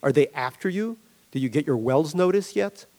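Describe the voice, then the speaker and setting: A man playing a quiet, accusing rich man, measured, reproachful. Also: fairly high pitch, lively intonation. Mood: probing.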